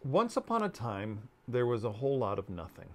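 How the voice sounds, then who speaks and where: A man in his fifties giving a dramatic storybook reading, deliberate and expressive with dramatic pauses. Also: medium pitch. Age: 50s. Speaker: author reading aloud. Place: book-lined room at home.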